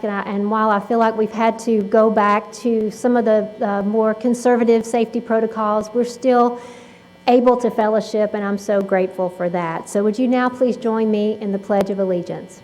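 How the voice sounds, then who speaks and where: High-voiced woman giving a club welcome address. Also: fairly even intonation, moderate pace, a little mellow, low-quality recording. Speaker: club president and meeting host. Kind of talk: prepared welcome address and meeting announcements.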